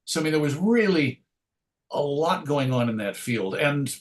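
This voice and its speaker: A man's voice: on the high side, expressive, moderate pace. A middle-aged man reminiscing casually as an interview guest, hesitant and rambling, often interrupting himself to restart a thought. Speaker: interview guest, writer and radio show host.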